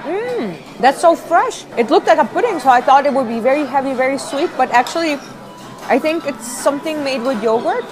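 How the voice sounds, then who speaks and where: Expressive young woman in a busy restaurant. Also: high voice, moderate pace. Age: young adult. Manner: expressive, tasting as she talks. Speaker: food and travel vlogger. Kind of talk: on-camera food review vlog. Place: busy restaurant dining room. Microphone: clip-on lapel mic. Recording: restaurant crowd chatter behind.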